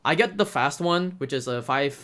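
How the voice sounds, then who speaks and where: A man doing casual live speedrun commentary, explaining the game in halting, hesitant phrases. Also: medium pitch, lively intonation, noisy background. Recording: game music behind the voice. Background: video game music.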